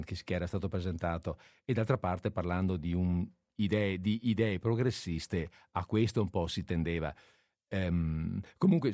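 A man hosting a casual call-in radio show, speaking in a halting, reflective way. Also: on the low side, darkish tone, no echo, studio quality. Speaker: radio host.

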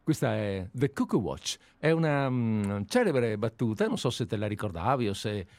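A man's voice: fairly low pitch, very animated, normal speed, darkish tone, no echo. Chatty man hosting live radio. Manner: chatty, digressive storyteller. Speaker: radio host. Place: radio studio.

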